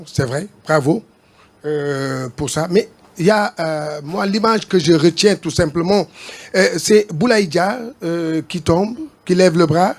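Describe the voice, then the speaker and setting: A man asking a question at a press conference, halting and pausing between short phrases. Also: a little high.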